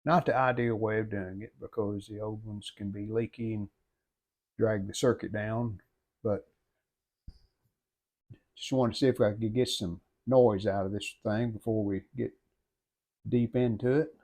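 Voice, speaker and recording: A man's voice: fairly low pitch, steady tone, a little slow, pauses often, darkish tone. A middle-aged man giving unscripted how-to commentary at a workbench in a plain, folksy, matter-of-fact way. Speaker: hobbyist repair vlogger. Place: indoor home workshop at a workbench.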